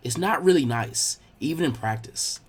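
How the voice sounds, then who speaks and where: A man's voice: mid-range voice, expressive, moderate pace, lightly acted. Man playing an earnest young ninja boy. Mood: hesitant. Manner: informal, candid, boyish. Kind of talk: fan fiction character dialogue.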